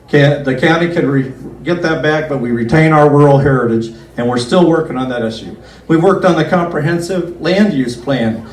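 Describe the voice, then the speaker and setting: A man who is a county commissioner giving a folksy, earnest campaign speech at a picnic, slowing down to stress his points. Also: a little high, slight background noise. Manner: folksy, earnest, with slow emphatic stretches. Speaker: county commissioner running for reelection. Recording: roomy, distant PA-style recording.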